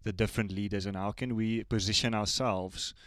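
Man co-hosting a church talk, low voice, halting with restarts, dry close mic. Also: steady tone, no echo. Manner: warm, halting, restarts phrases. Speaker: church podcast co-host. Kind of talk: casual church podcast chat.

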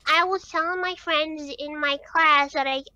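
A young woman in her twenties from Algeria, calling in with a very high, girlish voice and chatting loosely with lots of fillers and casual swearing. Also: steady tone, moderate pace, sharp tone, no echo, muffled recording. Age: 20s. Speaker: caller. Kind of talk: casual call-in chat.

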